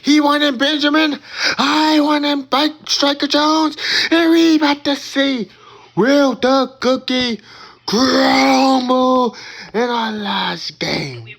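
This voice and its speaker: Gravelly high-voiced man, slow boastful taunts. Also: a bit bright. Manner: drawn-out dramatic chanting taunts; boastful, calls opponents noobs. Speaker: gamer commentating own match. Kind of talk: hyped trash-talk commentary.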